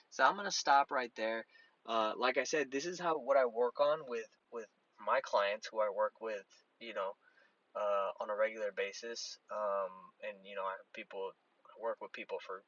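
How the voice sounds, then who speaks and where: A man talking through strength training in loose podcast chat, circling back and restarting as he goes. His voice sits at an ordinary middle pitch. The sound is dry and close, a little dull in the highs. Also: a bit bright, dry recording. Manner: conversational, many restarts, hand-waving examples. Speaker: strength coach and podcast host. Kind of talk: casual explanatory talk.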